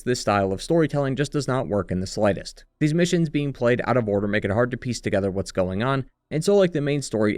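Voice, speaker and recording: A man's voice: medium pitch, no room sound, clean studio sound. A man narrating a scripted retrospective as a video essayist, with sardonic, wry commentary. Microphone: close, dry voice-over mic.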